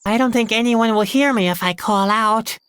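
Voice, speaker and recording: High-voiced, melancholy young clown boy. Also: moderate pace, a bit bright, clear, resonant tone, no echo. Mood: puzzled. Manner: hesitant, mournful musing. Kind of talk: scripted adventure game dialogue. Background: music.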